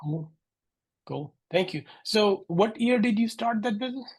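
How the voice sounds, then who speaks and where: A man hosting a podcast, reading a quick promo and then asking casual interview questions with fillers. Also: sits high, lively intonation, breathy edge. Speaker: podcast host.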